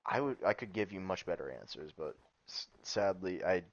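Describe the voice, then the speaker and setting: A man chatting casually on a game stream through a gaming headset. He talks in a growly, monotone way and rambles with plenty of swearing. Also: low-pitched, moderate pace, muffled recording, slight background noise. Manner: rambling, profane, laughs often. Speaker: streamer's friend.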